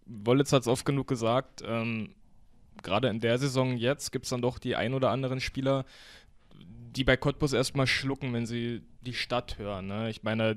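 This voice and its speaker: A man's voice: mid-range voice, no room sound. A man hosting a podcast, interviewing in a casual, informal, conversational way. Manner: informal, colloquial German. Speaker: podcast host.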